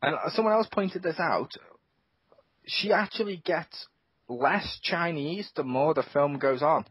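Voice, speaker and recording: Male host, rambling and jokey, high-ish voice, dry lo-fi mic. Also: lively intonation, measured pace, breathy edge, dry recording. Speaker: podcast host. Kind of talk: casual chat. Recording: dull lo-fi dry sound.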